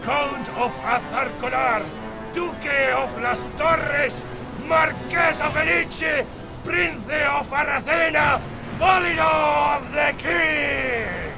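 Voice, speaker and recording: An actor playing a vain, scheming megalomaniac count in a video game, declaiming in a bombastic, grandiose way. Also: male voice, extremely high pitch, slow, vocal fry, raised voice, loud music behind the voice, exaggerated voice. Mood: defiant, ranting. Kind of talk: theatrical video game villain acting.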